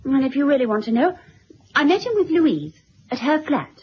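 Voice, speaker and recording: A lively young woman in an old radio play, worldly and affectionate. Her high voice swoops animatedly as she gushes and gossips. The recording is muffled. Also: moderate pace. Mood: excited, confiding. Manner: gushing, breezy, emphatic. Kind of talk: period radio drama acting.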